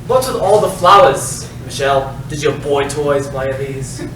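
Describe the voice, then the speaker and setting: A man's voice: medium pitch, expressive, unhurried, natural voice. A man playing a melodramatic, easily flustered private eye pining for a client in a comedic noir staged reading. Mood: smitten. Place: live staged reading in a roomy space. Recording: echoey noisy room, far from mic.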